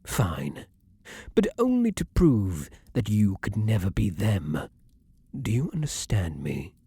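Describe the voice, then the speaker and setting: A man playing a centuries-old vampire king, haughty yet secretly romantic, speaking in formal, archaic phrasing with dramatic pauses in an intimate ASMR roleplay. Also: on the low side, wildly swooping pitch, warm tone, breathy edge, no echo, exaggerated voice. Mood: guarded, hostile.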